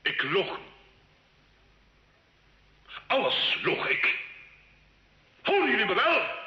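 A man's voice: extremely high pitch, bright, forceful tone, exaggerated voice. An actor in an old radio drama playing a proud, bitter accused nobleman who declaims with indignant scorn. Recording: echoey, dull old radio recording.

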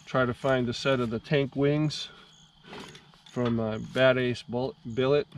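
A man vlogging about his motorcycle in a casual, halting way, with plenty of ums, later talking from inside a helmet while riding on the highway. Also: steady tone. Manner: halting, many ums and restarts. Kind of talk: casual how-to talk. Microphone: helmet mic in a full-face helmet. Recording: muffled helmet mic with loud wind noise. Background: loud wind and road noise.

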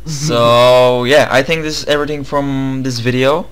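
A man's voice: mid-range voice, fairly even intonation, clear, resonant tone, rough recording. Young bulgarian guy rambling about gaming news, lots of uhs, clean resonant voice. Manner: chatty, halting English with many fillers. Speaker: gaming channel co-host. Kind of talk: casual gaming news commentary.

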